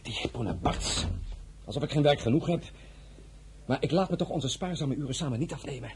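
A man's voice: on the high side, a little fast, background noise, lightly acted. A male actor in a radio drama playing a proud, blunt French general and minister, tender as a husband, with an authoritative, formal delivery. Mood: commanding, irritated.

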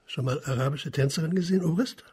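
An actor playing a jovial, lecherous Chinese merchant in a radio drama, genial, florid and full of relish. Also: male voice, fairly high pitch, fast, a little mellow, slightly rough. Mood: jovial, eager.

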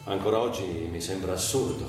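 An actor playing a disillusioned man mourning a dead friend in a halting theatrical monologue with weighty pauses. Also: sits low, over music, natural voice. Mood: mournful. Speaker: stage actor. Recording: echoey stage, distant mic, faint noise.

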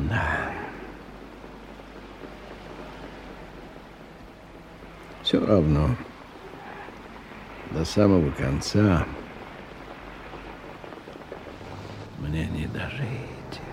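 A man's voice: sits low, creaky. An actor playing a weary, cynical middle-aged businessman in a radio drama, with a wry, sardonic delivery. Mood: scornful, weary. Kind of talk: radio drama acting, monologue and dialogue. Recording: noisy 1990 radio play recording.

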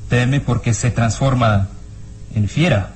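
Man playing serious sceptical police inspector in old radio serial, medium pitch, bit of noise. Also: slow, a little mellow, natural voice. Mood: serious, questioning. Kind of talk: radio drama acting.

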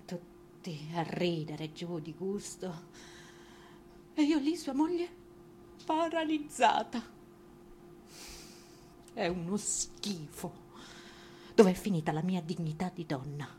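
A woman's voice: lowish voice, big pitch swings, takes it slowly, pauses often, darkish tone, slight background noise. An actress playing a humiliated, indignant wife in a theatrical monologue, dramatic and mocking as she stretches words for emphasis. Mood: wounded indignation.

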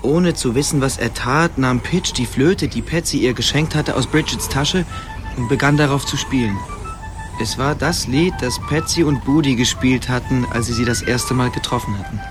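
A man's voice: mid-range voice, normal speed, music in the background. A man narrating a story as scripted narration for a German radio play.

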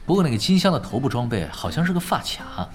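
A man's voice: medium pitch, very animated, fast talker, over music, close to an everyday voice. An actor playing a cool, unflappable male veteran gamer in a Chinese audio drama, casual, confident and teasing. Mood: relaxed, confident.

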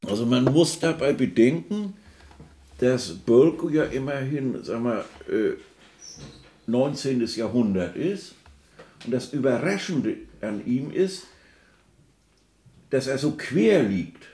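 A man's voice: mid-range voice, lively intonation, takes it slowly, pauses often, mellow, reverberant. A man giving spontaneous, discursive explanations as a music expert, searching for words and often seeking agreement.

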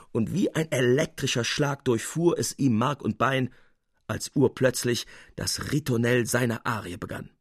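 Male audiobook narrator for classic fiction: mid-pitched, expressive, measured, recorded very dry. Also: breathy edge, dry recording. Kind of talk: literary scripted narration.

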